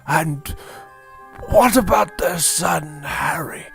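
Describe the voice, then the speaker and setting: A man's voice: fairly high pitch, slow pace, creaky, a bit bright, faint music behind, heavily acted. A man voicing a forgetful, pompous old wizard headmaster in a comic audio story. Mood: befuddled.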